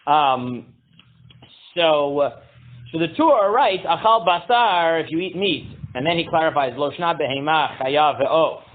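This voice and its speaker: A man teaching a casual Torah class, halting with many fillers, reading Hebrew text aloud and translating it. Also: animated. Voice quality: booming voice. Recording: tinny phone-like line.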